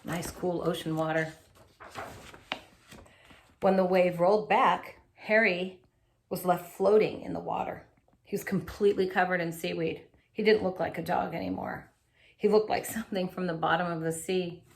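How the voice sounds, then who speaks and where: A woman reading a children's picture book aloud in an animated, expressive way, adding little asides for young listeners. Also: on the low side, normal speed, gravelly, long pauses.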